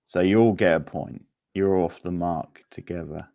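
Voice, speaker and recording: A man's voice: low voice, moderate pace, creaky, warm tone. A man casually hosting a live quiz, chatting with the players. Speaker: quiz host.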